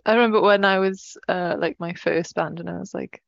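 A woman's voice: fairly low pitch, fairly even intonation, brisk, gravelly, dry recording, muffled recording. A woman musician guest, telling stories in an upbeat, giggly way. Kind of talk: casual chat.

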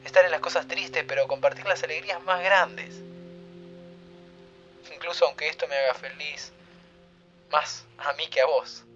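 An actor with a Rioplatense accent playing a warm-hearted young time traveler who is easily flustered and turns tender. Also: male voice, on the high side, fairly even intonation, moderate pace, pauses often, muffled recording, faint music behind, close to an everyday voice. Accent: Rioplatense Spanish. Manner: flustered pleading, then tender and reflective. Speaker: lead character.

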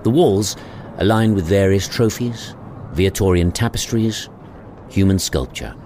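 A man's voice: low-pitched, unhurried, mellow, slight background noise. A man reading scripted scene narration for a sci-fi audio drama.

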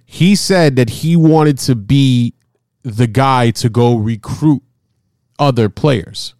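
Excitable man in his forties. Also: medium pitch, slow pace, no echo, studio quality. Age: 40s. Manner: excitable, repeats himself, stumbles over words. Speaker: host. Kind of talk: casual sports talk.

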